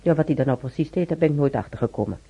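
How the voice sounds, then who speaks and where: A woman's voice: low voice, lively intonation, racing, muffled recording. A woman playing a talkative, wry old hospital patient and former maid in a radio drama, rambling colloquially and muttering to herself. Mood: indignant, amused. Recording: clearly noisy old radio recording.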